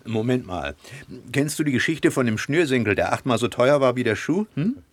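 A man's voice: mid-range voice, very animated, normal speed, no room sound, natural voice. A man playing a joking, reluctant sidekick and former boxer in a radio play, with a droll, teasing manner. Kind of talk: German radio play acting.

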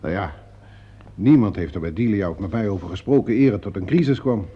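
An actor playing a self-assured, impatient theatre producer in a radio play, brusque and sardonic. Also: male voice, lowish voice, fairly quick, slightly rough. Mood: defensive, impatient. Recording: dull, muffled old radio recording.

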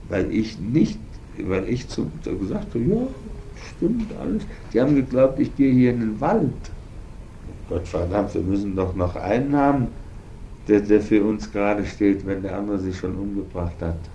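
Aging Nazi fugitive for radio drama: low, mellow, gravelly, boastful, halting self-justifier, faint noise behind. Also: male voice, expressive, dull audio. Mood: bitter, defiant. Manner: rambling, halting, self-justifying, repeating himself. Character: unrepentant aging Nazi war criminal, boastful and bitter.

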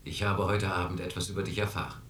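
A man's voice: sits low, completely flat delivery, fast, a bit bright, slight background noise. An actor playing a domineering group therapist in a radio drama, pressing and condescending as he questions. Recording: roomy, distant mic.

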